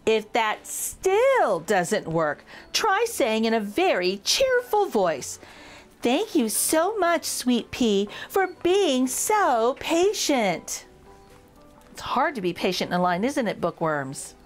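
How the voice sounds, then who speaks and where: A high-voiced woman reads a children's picture book aloud with very lively, swooping intonation. She is playful and speaks straight to her young listeners, taking her time, with music playing underneath. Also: slow pace. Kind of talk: expressive children's read-aloud.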